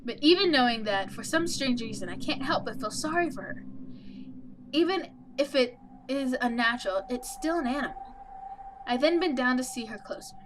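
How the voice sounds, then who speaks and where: A woman reading out the part of an anxious, lost young protagonist in a horror visual novel, measured and subdued. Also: sits high, animated, background noise. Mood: pensive. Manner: measured, subdued, stumbles over some words.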